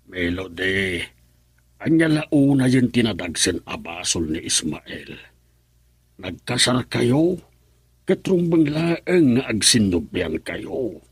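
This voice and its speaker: A husky, low-voiced elderly Filipino father. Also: very animated, takes it slowly, dry recording, full character voice. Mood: reproachful. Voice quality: husky, raspy. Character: weary, reproachful elderly father of grown daughter. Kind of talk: Filipino radio drama acting.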